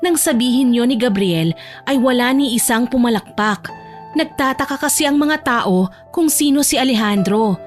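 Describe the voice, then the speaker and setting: A woman's voice: fairly high pitch, moderate pace. A woman reading scripted romantic story narration as the narrator of an audio drama. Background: soft music.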